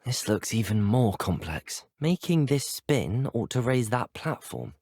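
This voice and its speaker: A young man with a British accent voicing a polite, inquisitive student wizard in a video game, measured and well-spoken. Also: mid-range voice, big pitch swings, darkish tone, no echo, studio quality. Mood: curious, musing. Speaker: video game player character. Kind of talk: scripted game dialogue.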